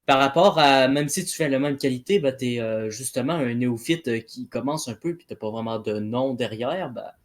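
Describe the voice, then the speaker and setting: Halting man in casual debate. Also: mid-range voice. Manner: halting, heavy repeated filler words. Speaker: debate participant.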